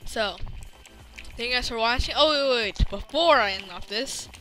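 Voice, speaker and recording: A man's voice: very high voice, normal speed, low-quality recording. A thirteen-year-old boy hosting a gaming video with casual, youthful, informal commentary. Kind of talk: casual gameplay commentary and chat. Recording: music playing behind the voice. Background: loud music.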